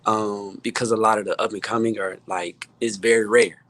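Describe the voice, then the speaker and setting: A man with an American accent talking casually as a guest music producer, halting and repeating words as he stresses his points. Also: on the low side, flat delivery, fairly bright tone. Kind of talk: casual panel talk.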